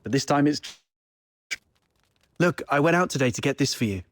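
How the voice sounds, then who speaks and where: A man's voice: a little high, lively intonation, normal speed, no room sound, close to an everyday voice. Man playing a playful, protective big brother. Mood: playful, evasive. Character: protective older brother, playful self-styled magician. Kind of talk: video game voice acting.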